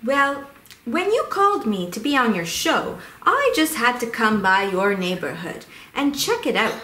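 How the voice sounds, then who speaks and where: A woman's voice: fairly high pitch, very animated, measured pace, pushed and bright, slight background noise, exaggerated voice. A woman voicing a cheerful male songbird in an educational children's puppet show, chirpy and explanatory. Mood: cheerful, informative. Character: cheerful male indigo bunting bird, proud father-to-be. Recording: roomy echo.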